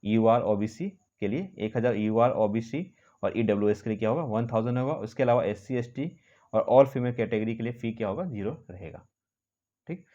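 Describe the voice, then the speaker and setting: A man's voice: on the low side, warm tone. A man presenting an informal explainer, reading out a notice and commenting in a repetitive way with frequent check-in tags. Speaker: host of a job vacancy information channel. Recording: dull, muffled lo-fi audio.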